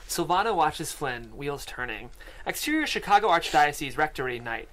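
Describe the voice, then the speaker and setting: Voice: mid-range voice, sing-song, normal speed, a bit bright, rough recording. A person reading screenplay stage directions and scene headings as scripted narration in a studio. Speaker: stage-direction reader at a table read. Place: podcast studio with several people. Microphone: studio microphone.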